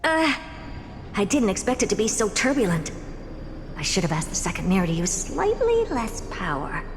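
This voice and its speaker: An actress playing a haughty, impatient genius scholar in a video game, speaking in an imperious, theatrical and self-important way. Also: female voice, medium pitch, very animated, moderate pace, background noise. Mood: impatient, dismissive. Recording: loud music under the voice.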